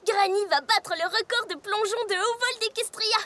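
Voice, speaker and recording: A woman's voice: extremely high pitch, moderate pace, background noise. A woman voicing a sweet, trusting little filly, earnest and childlike, in a French-dubbed children's cartoon. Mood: proud, excited.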